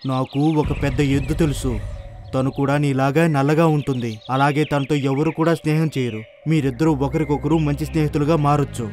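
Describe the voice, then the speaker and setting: A man's voice: mid-range voice, fairly even intonation, fairly quick, darkish tone, close to an everyday voice. A man playing a sly, taunting, scheming wolf in a cartoon moral story. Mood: persuasive. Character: scheming male wolf, sly deceiver of the bulls.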